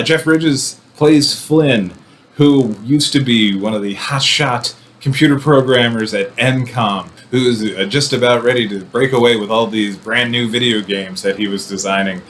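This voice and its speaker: A man's voice: medium pitch, a bit bright, slight background noise. A man giving casual, enthusiastic film commentary in an effusive, digressive way, with playful mock-combative asides. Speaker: film reviewer presenting a show.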